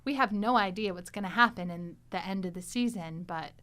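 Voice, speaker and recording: A woman hosting and editing a podcast, chatting in a studio, lively and quick to laugh. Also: mid-range voice. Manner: animated, laughs often. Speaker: podcast host and editor. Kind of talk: casual chat.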